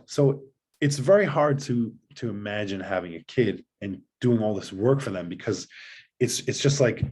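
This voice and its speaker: Man as podcast guest, husky, a bit low, emphatic, earnest. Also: animated, darkish tone. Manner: emphatic, earnest, talks in long rushing runs. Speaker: podcast guest, father of seven. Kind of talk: conversational advice.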